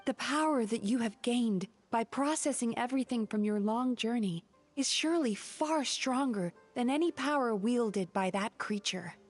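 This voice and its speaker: A woman playing a gentle, composed young psychologist and dream healer in a video game, speaking sincerely. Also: fairly high pitch, moderate pace, soft background music, natural voice. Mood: tender.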